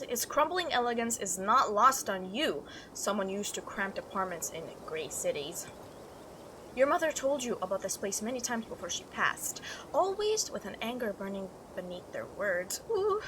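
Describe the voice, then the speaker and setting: A woman reading a visual novel aloud as a let's player, expressive and reactive, with chatty asides. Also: a little high, background noise. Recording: music behind the voice. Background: game music.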